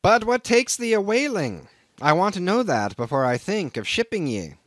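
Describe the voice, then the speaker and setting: A man voicing a gruff, suspicious old whaling captain who blusters in archaic thee-and-thou speech. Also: high voice, sing-song, moderate pace, a bit bright, dry recording, pitched above the performer's own voice. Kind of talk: audiobook character voice.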